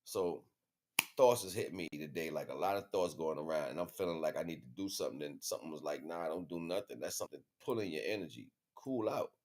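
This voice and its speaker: A man's voice: very deep voice, animated, brisk, a little mellow. An American man livestreaming an informal spiritual monologue to viewers, speaking in emphatic, repetitive, slangy street talk. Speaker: livestream host.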